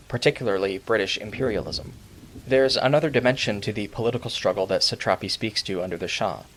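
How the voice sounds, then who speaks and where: Low-voiced man narrating a video essay. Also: fairly bright tone, poor audio. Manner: measured, formal, literary wording. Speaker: video essayist, channel host.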